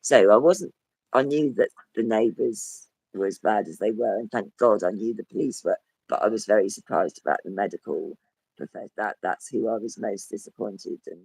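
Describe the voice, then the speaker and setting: A man with a croaky, hoarse voice, talking as a guest in a rambling casual chat that trails off and restarts. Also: lowish voice, normal speed, a little mellow, no echo, poor audio. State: hoarse with a cough. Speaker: podcast guest. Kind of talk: casual conversation.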